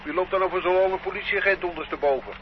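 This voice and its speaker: A man's voice: high-pitched, quick pace, close to an everyday voice. An actor playing a curious young man without money who protests defensively and stammers. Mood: protesting. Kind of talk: radio play acting. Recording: dull old radio recording, faint hum.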